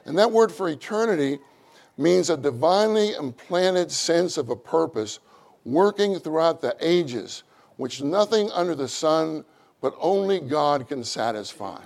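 A middle-aged man with a loud voice, preaching a sermon with animated, acted-out quotes. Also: unhurried, vocal fry. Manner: animated, acts out quotes with rising pitch.

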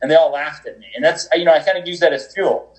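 A man's voice: a little high, fast talker, slight background noise, poor audio. A man talking as a podcast guest over a call, rambling with many restarts and fillers. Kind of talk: energetic conversational interview. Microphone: remote call.

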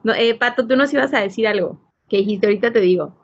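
A woman's voice: on the high side, quick pace. A woman with a Mexican accent hosting a podcast, reflecting casually and emphatically with rhetorical check-ins, on a dull recording. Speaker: podcast host.

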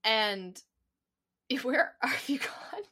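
A woman's voice: fairly high pitch, animated, very slow, sharp tone. A woman co-hosting a podcast in casual chat, playful and giggly. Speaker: podcast co-host.

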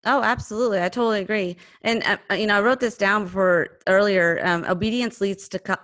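A woman's voice: medium pitch, fairly even intonation, brisk, no echo. A woman hosting a faith podcast, reflective and affirming as she talks with her guest. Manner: warm affirming listener, reflective. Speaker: podcast host. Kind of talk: faith-based podcast conversation.

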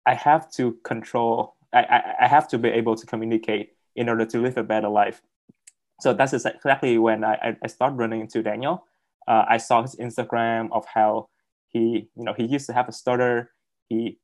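Halting young man, second-language English. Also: medium pitch, darkish tone. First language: not English. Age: young adult. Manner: halting, repeats words, many fillers. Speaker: interview guest. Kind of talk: casual interview on a video call. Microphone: video call.

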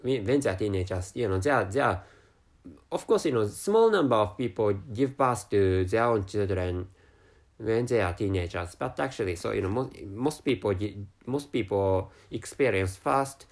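A man with a Japanese accent, a native Japanese speaker, talking alone on his podcast in slow, halting English full of restarts and repeated words. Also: fairly low pitch, animated. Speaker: podcast host. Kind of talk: casual solo podcast monologue.

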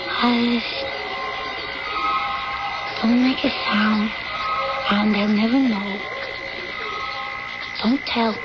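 An actress playing a fearful, troubled woman with childlike, fragmented, trance-like speech in an old-time radio drama. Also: fairly high pitch. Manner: trance-like, fragmented, hushed repetitive phrases. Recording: muffled old radio recording, loud background noise.

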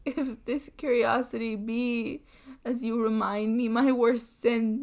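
A woman voicing a frightened young wife who pleads for more time, at moments in a whisper, in a storybook reading. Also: a little high.

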